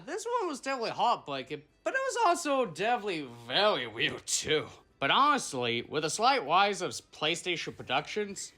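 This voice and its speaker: Bright, animated video commentator. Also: medium pitch. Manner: sweary, opinionated. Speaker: video host. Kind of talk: casual scripted video essay commentary.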